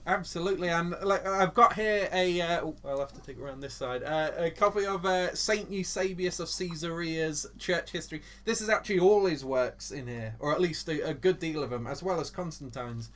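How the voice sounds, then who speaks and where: Enthusiastic English male co-host, slightly high voice, rambling church-history chat. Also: a little fast, hardly any pauses, fairly bright tone. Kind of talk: casual Bible teaching chat.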